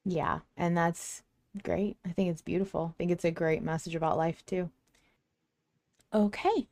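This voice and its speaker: A woman's voice: lowish voice, dry recording. A woman hosting a podcast in a casual, conversational discussion style. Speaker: podcast host.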